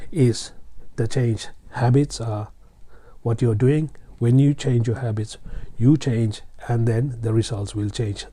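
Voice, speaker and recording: A man's voice: medium pitch, warm tone. A man from Sri Lanka with a Sri Lankan accent, teaching English in a casual spoken lesson, encouraging and addressing learners directly, often repeating himself and using fillers. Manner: repeats and restates phrases, frequent fillers.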